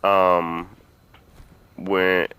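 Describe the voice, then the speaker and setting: Deep, bright-voiced man doing game commentary. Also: flat delivery, drawn-out words, smooth. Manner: informal, swearing, talking to viewers. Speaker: gaming video host. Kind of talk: casual let's-play commentary.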